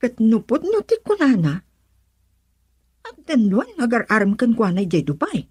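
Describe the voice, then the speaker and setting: A woman's voice: on the high side, huge pitch swings, a little slow, darkish tone, breathy edge, no room sound, studio quality, full character voice. A woman acting in an Ilocano radio drama as an opinionated character, speaking in an emphatic, questioning way.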